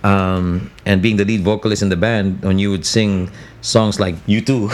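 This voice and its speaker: Deep-voiced Filipino man, lively storyteller. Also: normal speed. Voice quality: deep, worn voice. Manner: animated storyteller who mimics voices, mixing Tagalog and English. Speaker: radio voice talent and guest. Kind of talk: casual interview chat.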